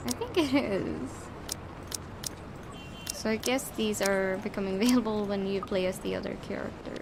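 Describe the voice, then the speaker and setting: Woman gamer with clear background noise. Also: mid-range voice, normal speed. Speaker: gaming video host. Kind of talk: casual commentary and dramatic read-aloud of a visual novel. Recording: clearly noisy home recording.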